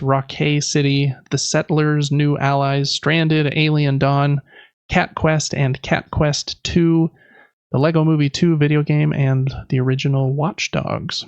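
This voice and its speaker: Guy podcast host chatting thru game news, gravelly fry, slightly muffled dry recording. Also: a little high, no echo. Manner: chatty, informal, reading through lists with asides. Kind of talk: casual gaming podcast news rundown.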